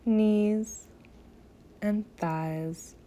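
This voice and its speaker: Very slow, mellow woman guiding meditation. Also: mid-range voice, steady tone. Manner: soothing, gentle, with long pauses between short phrases. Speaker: yoga nidra meditation guide.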